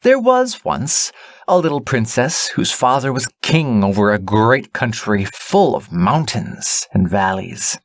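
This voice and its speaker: A male audiobook narrator tells a fairy tale with a highly varied, dramatic delivery. He is deliberate with his phrasing, at a medium pitch. The recording is dry. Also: sing-song, no echo. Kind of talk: scripted storybook narration.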